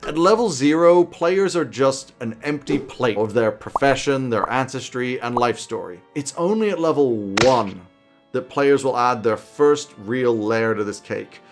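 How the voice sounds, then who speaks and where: A man's voice: medium pitch, expressive, a little slow. A man in his thirties presenting scripted commentary to camera in an emphatic, enthusiastic way. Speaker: tabletop roleplaying video host. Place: small home office, indoors. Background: background music.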